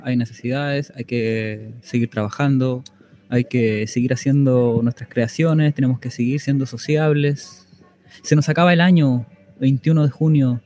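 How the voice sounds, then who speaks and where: A man's voice: medium pitch, normal speed, warm tone. A Chilean man presenting a radio show in a casual style. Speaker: radio host.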